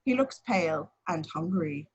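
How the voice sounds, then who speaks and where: Husky woman narrating a script. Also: low voice, animated, normal speed, lo-fi sound. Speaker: narrator of action descriptions.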